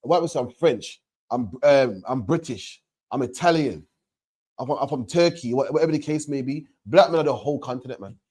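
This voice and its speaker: A man's voice: mid-range voice, lively intonation, a little fast, a little mellow, breathy edge. A man teaching about faith to a questioner, emphatic and repetitive, ending phrases with "man". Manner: emphatic, repeats points, ends lines with "man". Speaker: religious teacher. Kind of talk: street preaching to a questioner.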